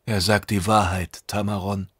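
A man voicing an earnest, nervous young male mutant in a science fiction audiobook, quiet and tentative. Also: on the low side, little pitch movement, normal speed, breathy edge, dry recording, clean studio sound. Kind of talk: audiobook character dialogue.

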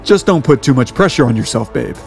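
A man's voice: medium pitch, lively intonation, brisk, vocal fry. An actor playing a devoted, protective man in a romance audio drama, serious and reassuring. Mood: tender, reassuring. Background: background music.

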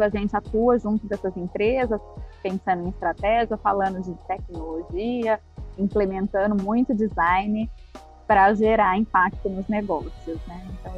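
Chatty Brazilian woman, dull lo-fi sound. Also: medium pitch. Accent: Brazilian Portuguese. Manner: chatty, with frequent tag questions. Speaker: company HR head guest. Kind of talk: casual interview talk.

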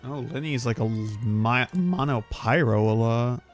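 A man's voice: medium pitch, unhurried, faint music behind. A man chatting casually and informally as he streams a game, muttering asides. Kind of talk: casual livestream chat.

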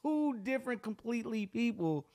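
A man's voice: extremely high pitch, lively intonation, moderate pace, over a noisy crowd. A man in his thirties, a podcast host asking questions in casual interview chat on a close microphone. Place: home streaming room, indoors. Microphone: close boom-arm podcast microphone.

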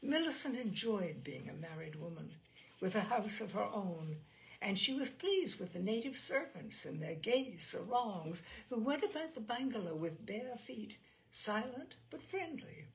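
A woman reading literary fiction aloud as a storyteller, in a measured, expressive audiobook style. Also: mid-range voice, low-quality recording.

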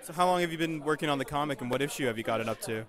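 A man's voice: on the high side, very fast, a bit bright, a faint crowd. A man interviewing comic creators at a convention, asking short, friendly questions. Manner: brief, friendly prompting questions. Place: convention floor.

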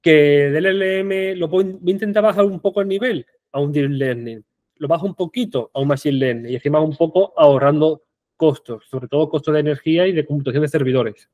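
A young man with a marked Andalusian accent, giving an animated online conference talk with rhetorical questions and frequent restarts, speaking on a headset mic in a small room. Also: on the high side, fairly quick, no room sound, clean studio sound. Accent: Andalusian Spanish.

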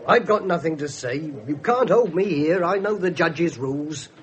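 Casting: male actor for defensive, curt British crook under questioning, higher-pitched, seventies radio drama. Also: lively intonation, moderate pace, lightly acted. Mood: indignant. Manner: indignant, curt protesting. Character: defensive, shifty male suspect protesting police questioning. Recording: noisy archive radio play recording.

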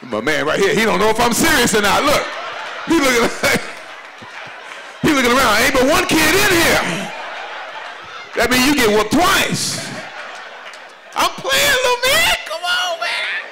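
A man in his forties from doing stand-up comedy at a church celebration, swinging between loud high exclamations and low confiding asides. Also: very animated, a little slow, pauses often, a crowd in the background, poor audio. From Chicago, USA. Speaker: comedian pastor. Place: banquet hall at a church event. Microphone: handheld microphone. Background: audience laughter.

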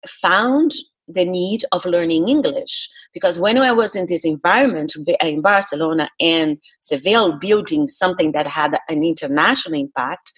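A woman's voice: medium pitch, husky. A middle-aged woman with a Brazilian accent and Portuguese as her first language, telling her story conversationally in an interview, explaining with emphasis. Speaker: podcast guest, speaker and coach.

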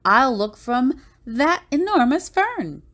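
A woman's voice: sits high, very animated, slow pace, fairly bright tone, voice pushed up, heavily acted. A woman voicing a boastful young boy dinosaur trickster in a storybook, with theatrical, exclaiming delivery. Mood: cocky, teasing.

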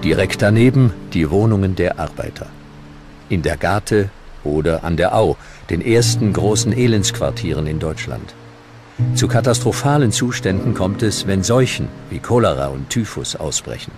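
Very deep-voiced documentary narrator, male. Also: animated, moderate pace, music in the background.

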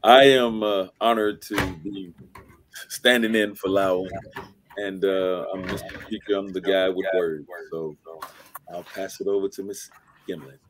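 A man co-hosting an online show over a video call, greeting slowly in a booming way. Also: fairly even intonation, noisy background. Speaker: co-host. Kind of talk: casual chat.

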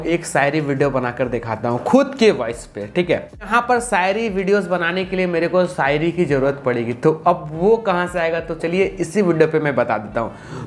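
Casting: young man, slightly high voice, informal tutorial host, faint background noise. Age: 20s. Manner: chatty, informal, mixes in English tech words. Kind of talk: casual step-by-step tutorial to camera. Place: small indoor room with computer setup. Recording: clearly noisy room recording.

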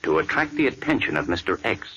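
An actor playing a calm, confident gentleman crime-fighter with a smooth, assured delivery, in 1940s radio acting. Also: medium pitch, quick pace, highs cut off, exaggerated voice. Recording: old radio recording with faint hiss.

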